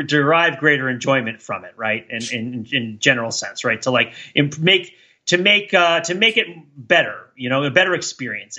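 A man's voice: a little high, sharp tone, lo-fi sound. A man co-hosting a podcast, talking through pop-culture ideas in a casual, analytical way, discursive and hedging as he thinks aloud. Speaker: podcast co-host.

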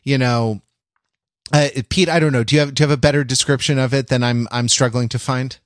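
A man hosting a podcast, talking casually about pop culture in a halting, wordy, explanatory way. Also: mid-range voice, steady tone, no room sound, clean studio sound. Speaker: podcast host.

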